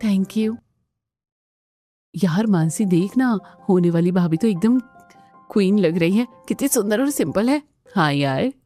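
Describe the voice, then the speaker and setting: A woman's voice: medium pitch, animated, a little slow, long pauses, dark tone, soft background music. A woman voicing kind, caring women of a family in a Hindi cartoon, speaking emphatically and expressively. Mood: admiring. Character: kind, indulgent mother and her caring, chirpy daughters.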